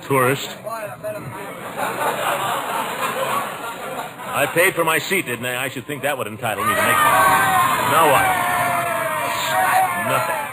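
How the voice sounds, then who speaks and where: Grumpy blustering sports fan in old radio comedy, voice jumps all over, loud hiss and noise. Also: male voice, mid-range voice, wildly swooping pitch, normal speed, a loud crowd. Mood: sulky. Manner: grouchy, blustering, exclamatory. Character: grumbling, blustery middle-aged man, impatient football spectator. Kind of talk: 1940s radio comedy acting. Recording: very noisy old radio broadcast recording.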